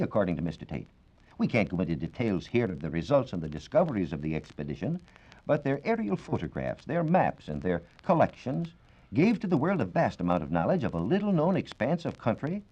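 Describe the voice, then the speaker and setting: An American man giving a formal, measured, scripted science talk as a reporter on 1940s radio. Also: lowish voice, a little mellow. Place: radio studio. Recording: dull, band-limited 1940s radio recording.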